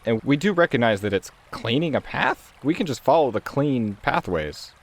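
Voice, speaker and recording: A man's voice: mid-range voice, animated, normal speed. A man playing a tabletop roleplaying game, casually talking through what his character does.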